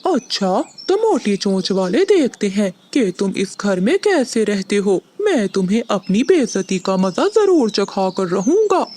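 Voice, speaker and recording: A woman's voice: mid-range voice, very animated, a little slow, hardly any pauses, dark tone. A woman voicing a greedy, domineering male crow, the villain of a children's cartoon. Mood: bossy, threatening.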